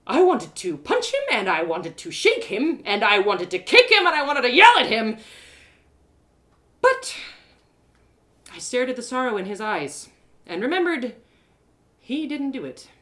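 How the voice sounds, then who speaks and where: A woman's voice: a little high, wildly swooping pitch, measured pace, pauses often, fairly bright tone. A woman narrating a first-person audio story in a dramatic storytelling style.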